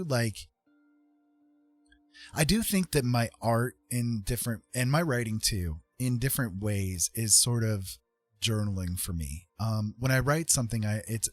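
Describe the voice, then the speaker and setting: Deep, husky middle-aged man chatting reflectively. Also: no echo. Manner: earnest, musing, hesitant. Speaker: livestream host, artist and writer. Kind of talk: casual reflective livestream chat. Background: music.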